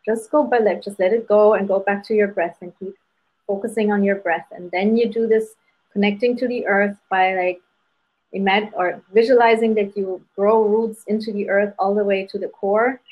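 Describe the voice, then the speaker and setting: A woman from Germany with a German accent, hosting live spirit readings and guiding a meditation over a video call in a relaxed way, often saying "um" and "like". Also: medium pitch, normal speed, muffled recording. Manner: relaxed, many fillers like "um" and "like". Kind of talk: casual guided meditation and readings.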